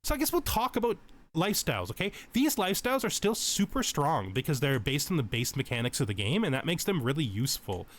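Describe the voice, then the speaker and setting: A man's voice: fairly high pitch, very animated, fast, fairly bright tone. A man giving casual let's play tutorial commentary, explaining game mechanics and thinking aloud as he goes.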